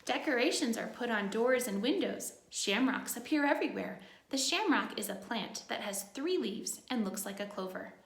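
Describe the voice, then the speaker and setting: A woman with a fairly high voice and a noticeable creak reads a children's book aloud like a teacher. She breaks from the text for simple, encouraging asides to young listeners. The room adds a clear echo around her voice. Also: expressive, normal speed, hardly any pauses.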